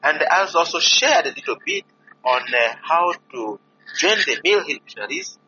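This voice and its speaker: A man from DR Congo, a priest and vocation director, making an earnest, pleading appeal to listeners with many pauses. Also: a little high, very animated, pushed and bright. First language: not English. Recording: dull lo-fi recording with faint noise.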